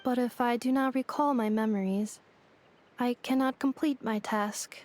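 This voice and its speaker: A woman voicing a calm female android in a game, speaking in a flat, formal and precise way. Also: a little high, moderate pace, slight background noise, close to an everyday voice. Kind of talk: game voice acting.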